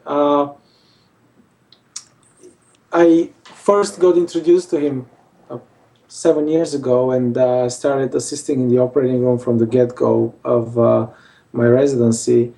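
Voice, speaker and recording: A man's voice: on the high side, creaky, mellow, recorded from a distance. A male surgeon from Bulgaria, answering interview questions hesitantly with many fillers. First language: Armenian. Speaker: facial plastic surgeon.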